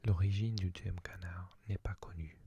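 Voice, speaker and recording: A man whispering softly in an ASMR reading, musing aloud and sounding out foreign words. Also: deep voice, steady tone, normal speed, a little hiss or hum. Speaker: ASMR creator.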